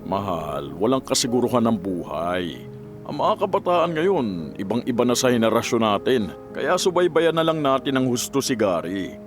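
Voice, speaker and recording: A man playing a calm, reassuring middle-aged father in a radio drama. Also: mid-range voice, normal speed, over music, lightly acted.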